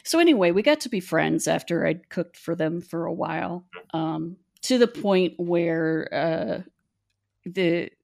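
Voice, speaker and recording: A middle-aged woman from West Virginia speaks with a somewhat low voice. She tells stories in a chatty, meandering, self-deprecating way, like a relaxed podcast conversation. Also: unhurried, gravelly. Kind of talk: casual storytelling chat.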